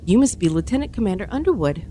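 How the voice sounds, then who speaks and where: An actress playing a rule-bound, coldly dutiful starship commander in an audio drama, clipped, curt and formal. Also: female voice, medium pitch, big pitch swings, quick pace, dark tone, a little hiss or hum, close to an everyday voice. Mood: stern, dismissive. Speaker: starship first officer. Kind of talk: science fiction audio drama acting.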